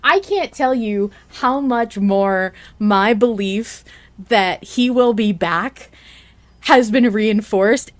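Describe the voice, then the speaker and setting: A woman hosting a fan podcast, chatting excitedly in an emphatic, gushing, halting way. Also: mid-range voice. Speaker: podcast host.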